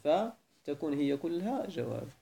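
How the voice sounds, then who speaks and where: A man's voice: a little high, big pitch swings, normal speed, vocal fry, warm tone. Moroccan man quizzing grammar students. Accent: Moroccan Arabic. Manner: probing, repeatedly asks why and corrects. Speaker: teacher of Arabic grammar. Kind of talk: classroom lesson, questioning students.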